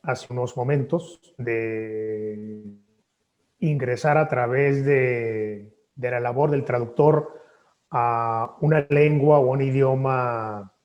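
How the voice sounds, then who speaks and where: A man, a poet and native Spanish speaker, speaking thoughtfully at a book presentation with measured hesitations. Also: medium pitch, muffled recording. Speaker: poet and author. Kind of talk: reflective talk at a book presentation.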